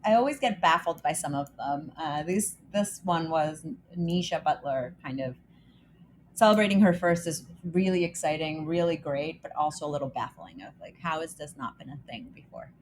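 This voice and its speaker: A woman's voice: low voice, expressive, normal speed, slight background noise. A woman from El Salvador co-hosting a podcast in a casual, chatty way, reading short news items and reacting to them. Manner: upbeat, chatty, reads news items aloud. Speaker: podcast co-host.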